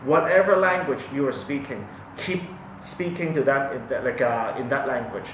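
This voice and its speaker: A man in his forties from Hong Kong, a native Cantonese speaker, talking unscripted about his family and often pausing, restarting and filling with "um" and "like". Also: medium pitch, distant mic, background noise. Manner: hesitant, many restarts and fillers. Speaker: parent sharing his experience. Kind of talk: unscripted talk to camera. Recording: echoey room, mic set back.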